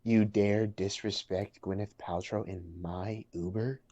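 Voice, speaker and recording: Man acting awkward young man, chatty, unhurried, stammering, casual script reading, dull lo-fi sound. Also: lowish voice, fairly even intonation, mellow. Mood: mock stern. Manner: hesitant, stammering. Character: awkward, chatty young man named Bartram on a blind date.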